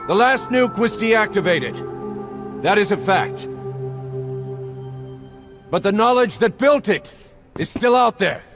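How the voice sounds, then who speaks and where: An actor playing a solemn, weary soldier in a video game, speaking in deliberate monologue. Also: male voice, sits high, lively intonation, over music, full character voice. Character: solemn, weary soldier reflecting on war and legacy.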